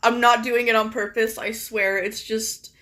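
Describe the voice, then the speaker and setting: A young woman streaming and chatting casually with viewers, her voice a little raspy and deeper from just waking up, talking in a rambling way full of "like". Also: level tone, measured pace, bright, forceful tone. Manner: chatty, filler-heavy, many "like"s. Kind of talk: casual live-stream chat.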